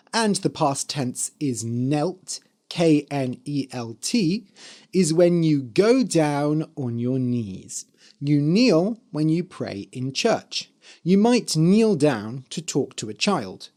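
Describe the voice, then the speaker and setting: Slow-paced, slightly hoarse podcast teacher. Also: medium pitch, a little mellow. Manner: careful enunciation, spelling words out letter by letter. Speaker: podcast host and English teacher. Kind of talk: slow, clear explanation for language learners.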